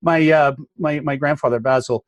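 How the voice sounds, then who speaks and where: A man's voice: a little high, fairly even intonation, normal speed, no echo, dull audio. Punchy motivational middle-aged man. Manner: emphatic, motivational, speaks in punchy lists. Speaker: guest, mindset coach. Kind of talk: podcast interview.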